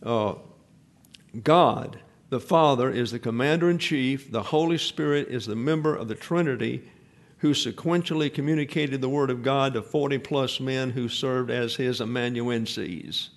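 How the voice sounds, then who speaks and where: A man's voice: medium pitch, moderate pace. A man preaching a Bible teaching sermon from the pulpit, explaining patiently, leaning hard on key points, with frequent fillers and restarts. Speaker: pastor-teacher.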